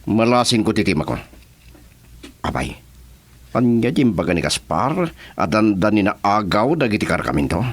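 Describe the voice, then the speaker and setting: A man with an Ilocano accent playing a gruff, demanding crime boss in a radio drama. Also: lowish voice, sing-song, moderate pace, long pauses. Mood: stern, demanding. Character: gruff male crime boss giving orders on the phone.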